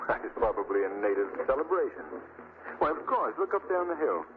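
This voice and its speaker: Guy playing a calm classy leading man, old radio play, swoopy delivery, lots of static hiss. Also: medium pitch, quick pace, close to an everyday voice. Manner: assured, reassuring. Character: confident young gentleman traveler. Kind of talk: 1930s radio acting. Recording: tinny, hissy old radio broadcast.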